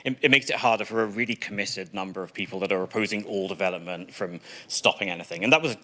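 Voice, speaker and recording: A man's voice: fairly low pitch, fast, pushed and bright. A man from England with an English accent, giving articulate, explanatory testimony to a state legislative committee with a touch of self-deprecating humor. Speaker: policy witness. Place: state committee hearing room.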